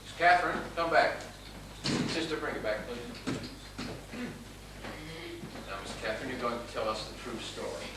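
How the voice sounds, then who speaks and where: A man playing a calm, firmly probing doctor in an amateur stage play, controlled and authoritative. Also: mid-range voice, normal speed, long pauses, lightly acted. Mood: firm, reassuring. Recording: echoey theatre stage, distant mic, noisy.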